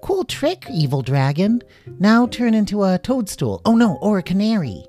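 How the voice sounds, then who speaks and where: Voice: mid-range voice, very animated, a little fast, mellow, soft background music, pitched above the performer's own voice. A storyteller voicing a mischievous, carefree little girl animal in a children's tale, cheeky and blunt. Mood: cheeky delight.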